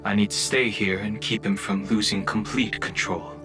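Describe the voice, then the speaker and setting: A man voicing a steady, serious companion in an anime game, matter-of-fact, with music behind. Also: on the low side, normal speed, lightly acted.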